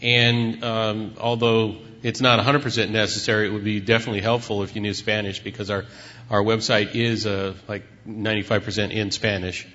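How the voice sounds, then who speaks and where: A man on a panel giving an unscripted technical explanation in a hesitant, halting way. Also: on the low side, level tone, sharp tone. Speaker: website tech team member on a panel. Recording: muffled recording in reverberant hall.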